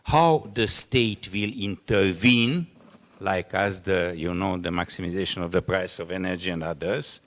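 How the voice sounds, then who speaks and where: Low-voiced, slow man with Hungarian-Romanian accent. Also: fairly bright tone. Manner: halting, searching for words in non-native English. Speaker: conference panelist. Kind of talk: live panel remarks.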